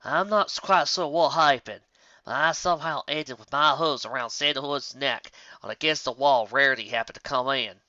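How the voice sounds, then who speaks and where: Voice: medium pitch, normal speed, pushed and bright, dry recording, highs cut off, natural voice. A narrator voicing a level-headed, honest farm mare, a rueful elder sister pony in a storybook reading. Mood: rueful, puzzled.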